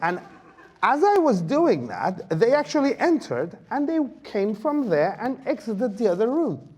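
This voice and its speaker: A man giving a lecture at a conference, telling a story with many fillers and pauses. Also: very high voice, very animated, darkish tone, husky. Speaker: guest lecturer. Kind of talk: conference talk. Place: lecture hall.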